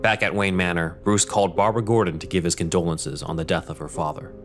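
A man's voice: deep voice, fairly even intonation, fast, faint music behind. A man reading dramatic scripted narration as the narrator of an audio drama. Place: recorded voice-over with music behind.

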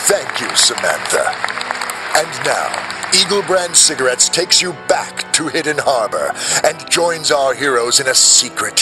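Man doing a 1940s radio announcer, smooth, music underneath. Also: mid-range voice, low-quality recording. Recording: radio show with clear music.

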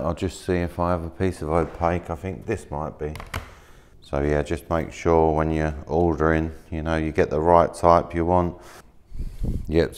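A man in his thirties casually showing materials at a workshop table, talking in a relaxed, rambling way with plenty of fillers and restarts. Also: deep voice, a little hiss or hum. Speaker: maker demonstrating laser-cut materials. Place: workshop, indoors, at a work table.

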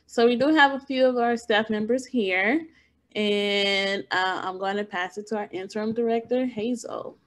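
Young female host, info session on a video call, relaxed and chatty. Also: medium pitch, normal speed. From Oakland, California. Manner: relaxed, chatty, laughs easily. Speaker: academic preparation specialist, meeting host.

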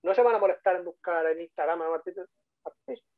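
A man's voice: high voice, fast talker, no echo. A man from Spain with a Spanish accent, giving a casual online talk as a 3D modeler on a video call, chatty and repeating points for emphasis. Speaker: guest speaker, 3D modeler. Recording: tinny phone-like call audio.

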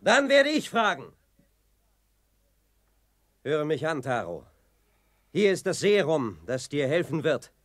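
An actor playing a bold, mischievous young hero in a children's audio drama, with an animated delivery. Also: male voice, on the high side, slow, pauses often, dry recording, clean studio sound, full character voice. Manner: urgent, animated. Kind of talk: 1980s German children's audio drama acting.

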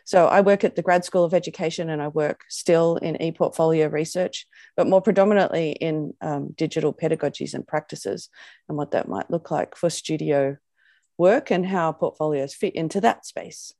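A woman's voice: sits low, normal speed, mellow, no echo, clean studio sound. An Australian woman, an education researcher, giving a thoughtful webinar presentation on a video call, with frequent ums.

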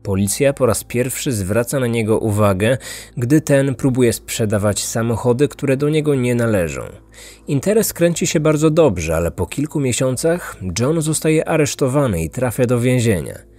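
A man narrating a scripted true-crime podcast in measured, suspenseful phrases. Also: medium pitch, animated, darkish tone. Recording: dry studio voice over music. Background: background music.

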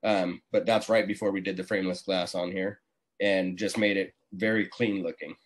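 A man's voice: deep voice, level tone, moderate pace, a little hiss or hum. A man in his forties, an easygoing contractor talking casually as a guest on a video call. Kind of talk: casual interview talk. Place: small indoor room, video call. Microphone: computer mic on a video call.

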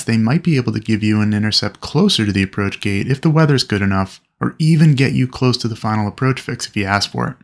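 A man giving a scripted explainer narration in an instructional manner, as if teaching peers. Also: mid-range voice. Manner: instructional, addresses fellow pilots.

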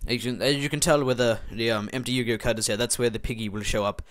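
A man's voice: medium pitch, fairly even intonation, fast talker, fairly bright tone. A teenage boy giving casual, rambling commentary over a screen recording, muttering and mocking himself as he types. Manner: rambling, self-mocking, muttering while typing. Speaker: video maker.

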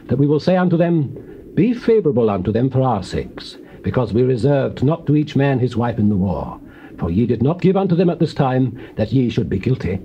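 An actor playing a solemn elder of Israel giving measured, authoritative counsel in a Bible audio drama. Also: male voice, mid-range voice, close to an everyday voice. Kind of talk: dramatized scripture speech. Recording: muffled hissy old cassette tape.

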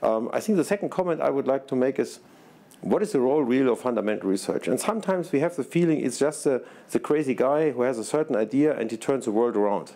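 A man's voice: mid-range voice, expressive, fast talker, dark tone. A man in his sixties with a German accent, speaking earnestly as a panelist, repeating himself and searching for words, on a large conference stage. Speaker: research institute president, panelist. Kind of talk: panel discussion remarks. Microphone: lapel mic.

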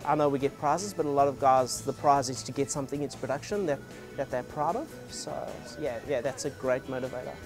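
A man in his thirties on a hackathon team, talking enthusiastically but haltingly in a casual office interview. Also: mid-range voice. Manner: enthusiastic, halting with repeated ands. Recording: music bed underneath.

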